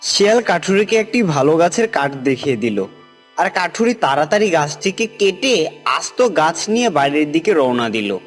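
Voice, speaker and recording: Lively storybook narrator, man. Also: fairly high pitch, normal speed. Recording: music playing behind.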